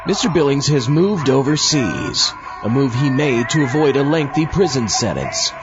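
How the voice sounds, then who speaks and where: A man's voice: medium pitch, expressive, moderate pace. A man hosting a radio drama show, reading a scripted, dramatic introduction. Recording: dull sound with music bed. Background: music.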